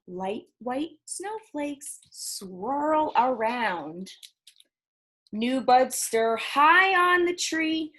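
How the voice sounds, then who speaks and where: A woman leads a storytime for young children. Her voice sits a little high, and she takes her words very slowly. She reads and counts in a singsong, encouraging manner, speaking directly to her small listeners. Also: a bit bright. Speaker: children's library storytime host.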